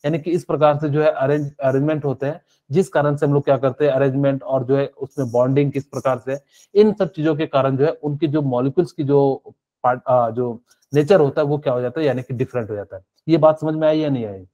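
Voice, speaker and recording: A man's voice: mid-range voice, fairly even intonation, fairly quick, mellow, dull audio. A male chemistry teacher giving a live online class, explaining step by step with many fillers and asking students questions.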